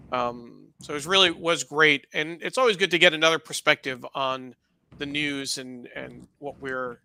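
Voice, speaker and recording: A man with a strikingly bright, forceful voice, pitched a little high, co-hosts a live show. His delivery is conversational and halting, full of appreciation. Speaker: co-host. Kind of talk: casual live show hosting.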